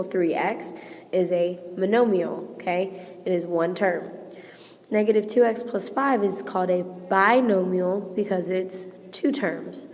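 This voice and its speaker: A woman teacher with a hoarse, failing voice, patiently walking students through a math lesson step by step and checking in with "okay?". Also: medium pitch, in a large hall. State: sick, losing her voice. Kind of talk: recorded classroom lesson.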